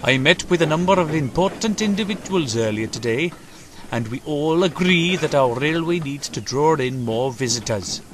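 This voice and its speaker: A man's voice: fairly high pitch, expressive, normal speed, noisy background, pitched above the performer's own voice, lightly acted. A man voicing a proud, assuring railway controller in a children's storybook, making formal, authoritative speeches. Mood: announcing.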